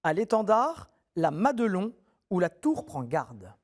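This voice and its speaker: Lively, encouraging quiz show host, man. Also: high-pitched, measured pace. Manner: friendly, encouraging, clear question reading. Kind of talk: TV quiz hosting, reading questions.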